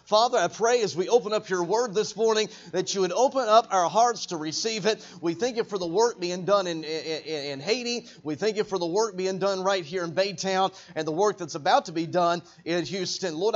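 High-voiced man preaching a sermon. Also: muffled recording. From Texas. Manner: builds to loud emphatic preaching with slow drawn-out stresses. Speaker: traveling evangelist preacher. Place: church sanctuary.

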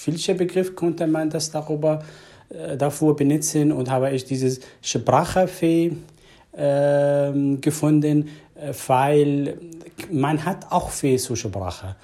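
Man, slow and dark-toned, halting delivery, fillers; for a testimony clip. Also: fairly high pitch. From Syria. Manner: halting, searching for words, many fillers. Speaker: interviewee. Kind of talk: personal testimony.

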